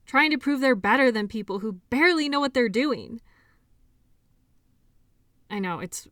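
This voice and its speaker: A woman playing a caring, shy doctor in an intimate audio roleplay, talking softly and hesitantly in a conversational way. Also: mid-range voice, no echo, clean studio sound, lightly acted. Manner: soft, hesitant, giggly, conversational.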